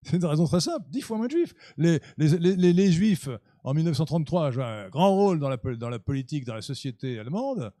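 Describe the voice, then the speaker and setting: A man's voice: on the high side, very animated, quick pace, hardly any pauses, warm tone, no room sound, studio quality. A man hosting a political club talk, speaking unscripted in a lecture style, full of fillers and restarts, and growing emphatic. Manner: hesitant, many fillers and restarts, rising to emphatic.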